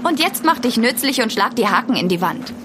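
An actress playing a female mountain climber who talks in a sharp, teasing way. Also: on the high side, very animated, fairly quick, noisy background. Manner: teasing, then panicked.

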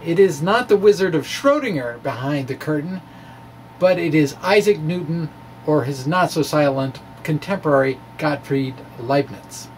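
Male, middle-aged, higher register. Theatrical science-blog reader, emphatic, ad-libs. Slightly noisy home recording. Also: normal speed. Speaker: science video blogger reading his own blog aloud. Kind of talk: dramatic reading with ad-libbed asides. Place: small indoor room with bookshelf. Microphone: microphone on stand in front of him.

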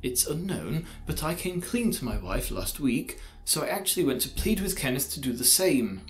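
Casting: man as guarded science professor, formal and measured, slightly high animated voice, podcast drama. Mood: defensive. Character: male college science teacher, defensive, formal, secretive adulterer. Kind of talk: audio drama acting. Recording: music behind the voice.

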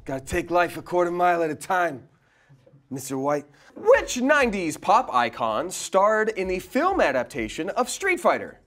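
A young man hosting a casual, jokey game show in a studio, playfully dramatic with deadpan asides. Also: high voice, moderate pace. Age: young adult. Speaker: quiz show host. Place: indoor studio set.

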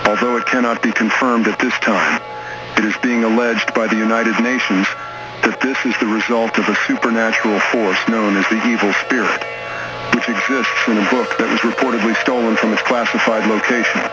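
A man reading a scripted emergency broadcast announcement in a formal, measured manner. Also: fairly low pitch, fairly even intonation, over loud music, low-quality recording.

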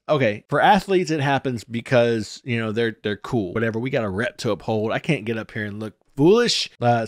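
American man, forties, mid-range pitch, casual opinionated commentator, animated delivery, very dry close recording. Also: no echo. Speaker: host of a reaction and commentary show, former acting teacher. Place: small home studio indoors. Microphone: close desk microphone, with headphones.

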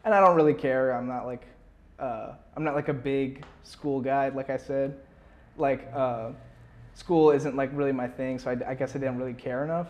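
A man's voice: mid-range voice, steady tone, moderate pace. A young man talking casually as an interview guest, rambling and slangy, peppering his sentences with "like". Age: young adult. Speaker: musician guest. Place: small furnished indoor room. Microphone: clip-on lapel mic.

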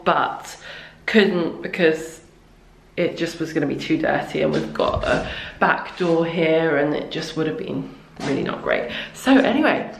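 A woman's voice: mid-range voice, darkish tone. Chatty woman in an echoey kitchen. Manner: chatty, upbeat, many ums, chats to her toddler in between. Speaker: home renovation vlogger. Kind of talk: casual vlog to camera. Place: half-renovated house, echoey bare kitchen. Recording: echoey room, faint noise.

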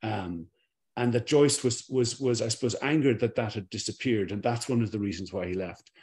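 A man's voice: lowish voice, normal speed, a little mellow. A middle-aged Irish man, a documentary director, answering reflectively and repeating words as he searches for them, on a video call from a home room with bookshelves. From Ireland. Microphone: video call webcam.

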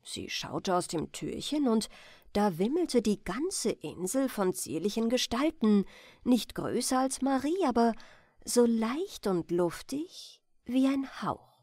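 Slow, animated woman reading fairy tales. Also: on the high side, dry recording, studio quality. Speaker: storyteller. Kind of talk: bedtime fairy-tale reading, scripted narration.

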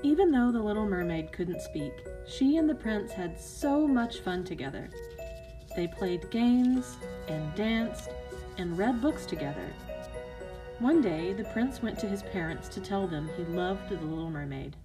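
A woman's voice: mid-range voice, lively intonation, measured pace, over music. A woman narrating a scripted fairy tale for children as a storyteller.

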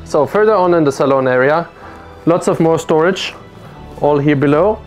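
A man's voice: fairly high pitch, normal speed, soft background music. Man giving a methodical yacht walkthrough. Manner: explanatory, methodical, lists features. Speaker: boat presenter. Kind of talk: product walkthrough. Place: aboard a motor yacht on a lake, on deck and in cabins.

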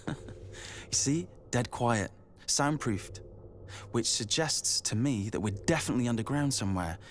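Young male adventurer's companion played by a man, hesitant, inquisitive, lively intonation, noisy ambience. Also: medium pitch, measured pace, pauses often, natural voice. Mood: curious. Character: curious, unsure young man, time-travel companion. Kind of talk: audio drama acting.

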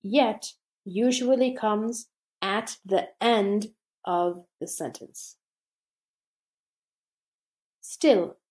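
A woman reading a scripted grammar lesson aloud, slowly and deliberately with pauses between phrases. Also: mid-range voice, a little mellow. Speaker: English teacher.

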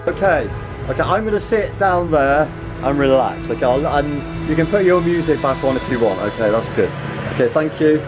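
An enthusiastic middle-aged English man talks straight into a handheld camera, piling on repeated praise. His voice sits a little high. Loud background music runs under everything he says. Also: expressive, normal speed. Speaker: travel vlogger. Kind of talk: casual vlog chat. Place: small shop with open front. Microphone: handheld camera at arm's length.

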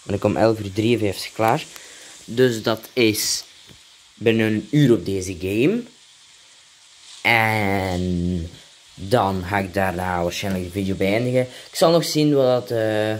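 A man's voice: fairly low pitch, slow, slight background noise. A man with a Flemish accent giving casual commentary while playing a game. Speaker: gaming video host.

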